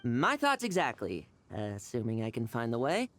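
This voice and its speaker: Very animated brash young knight. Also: female voice, rumbling low, moderate pace, slight background noise, full character voice. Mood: upbeat, friendly. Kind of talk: anime video game voice acting.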